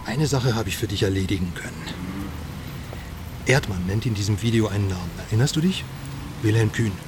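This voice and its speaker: A man's voice: on the low side, fairly quick, noisy background, natural voice. A man playing a detective's chatty, irreverent friend in a crime radio drama, talking in a wry, breezy, colloquial way. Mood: wry, playful.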